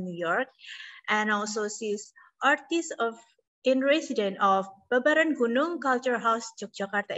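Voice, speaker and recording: Woman moderating, reads out a speaker bio in short bits, mid pitch. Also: fairly bright tone, rough recording. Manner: reads a bio in short phrases, slight stumbles. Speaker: event moderator. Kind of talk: introducing a guest speaker.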